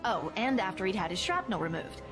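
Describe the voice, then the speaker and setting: A woman's voice: mid-range voice, very animated, a little fast, close to an everyday voice. A woman voicing a wry, chatty hero's partner. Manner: wry, joking asides. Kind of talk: scripted cutscene narration. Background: music.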